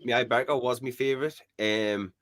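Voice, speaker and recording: A man with a thick, strong accent talking fast in a casual live chat about ants, full of "like" and "I mean". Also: mid-range voice, over a noisy crowd.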